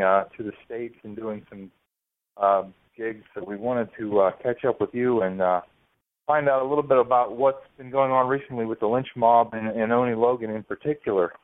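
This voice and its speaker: A man hosting a radio interview, asking questions with many pauses and fillers. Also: mid-range voice. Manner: hesitant, lots of ums and uhs. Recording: tinny phone-like sound.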